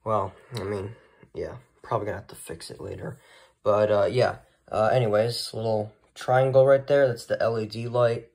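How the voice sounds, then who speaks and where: A man's voice: on the low side, fairly even intonation, darkish tone. A man giving a casual, unscripted product showcase as a hobbyist, talking in a hesitant, rambling way. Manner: hesitant, halting, rambling delivery. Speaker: hobbyist vlogger showing a weather radio collection.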